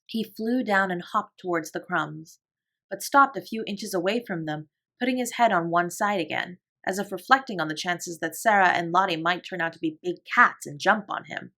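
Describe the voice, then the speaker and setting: A woman narrating a classic children's novel as a bedtime story, in a calm, gentle, measured voice. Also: lowish voice. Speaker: audiobook reader.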